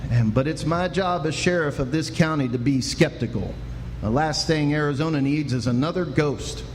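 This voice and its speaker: An actor playing a folksy, skeptical Texan sheriff in a live Western radio drama, with a drawling rustic way of talking. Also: male voice, on the high side, normal speed, close to an everyday voice. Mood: calming, wry. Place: live stage performance indoors. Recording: noisy live stage, reverberant hall.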